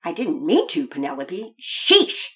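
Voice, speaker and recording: A woman's voice: on the low side, wildly swooping pitch, a little slow. A woman voicing a haughty, mocking young boy who snaps back condescendingly in a children's story. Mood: mocking, defensive.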